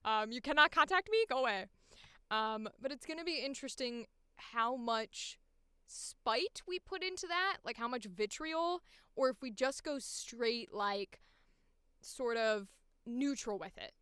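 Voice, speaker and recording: A woman voice actor chatting casually on a livestream, thinking aloud in an informal, animated way, close to the microphone. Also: on the high side, slow, clean tone, dry recording. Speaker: voice actor recording auditions.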